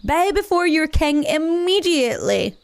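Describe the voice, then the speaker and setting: Lady doing a bossy badger who thinks he's king, kids story, highish voice, drags out his proclamations. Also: sing-song, gravelly, heavily acted. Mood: imperious, annoyed. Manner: imperious, proclaiming with drawn-out words. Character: pompous, grumpy, lonely male badger, self-proclaimed king.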